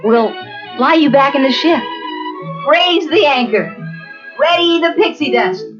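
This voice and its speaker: A woman's voice: high-pitched, animated, a little slow. A woman playing a brave, boastful boy adventurer in a children's storybook, bold and crowing in delivery. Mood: triumphant, commanding. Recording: dull lo-fi storybook record with music.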